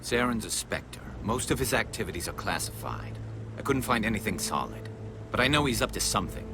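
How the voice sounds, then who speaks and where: Alien cop, low and expressive, frustrated and driven, game cutscene over background noise. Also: male voice, a little fast. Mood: earnest, frustrated. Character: frustrated, determined male alien police investigator. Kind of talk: video game cutscene acting.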